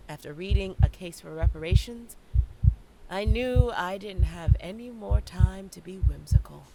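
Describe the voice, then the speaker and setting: A young woman playing a stressed, self-questioning theater student in a dramatic monologue, with theatrical, deliberate delivery. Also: lowish voice, noisy background. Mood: driven, insistent. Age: young adult. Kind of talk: dramatic monologue in an audio play.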